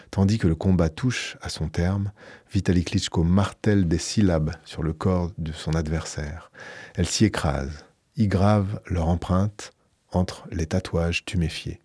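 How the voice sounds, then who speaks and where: A man who is a radio book columnist, reading a book aloud in a measured, emphatic way and then reviewing it, in a radio studio. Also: deep voice, a little mellow, slightly rough, no echo.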